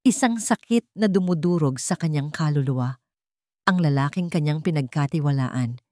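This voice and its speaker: A woman with a Filipino accent reading a scripted love story in a measured, dramatic way, in short phrases. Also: deep voice, a little mellow, no echo, studio quality.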